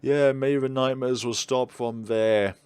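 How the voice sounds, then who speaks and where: A man's voice: medium pitch, fairly even intonation, a little slow, a little mellow, clear, resonant tone, no echo. A man narrating and commenting on a game as he plays it. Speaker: game streamer. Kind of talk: let's play commentary and read-aloud narration.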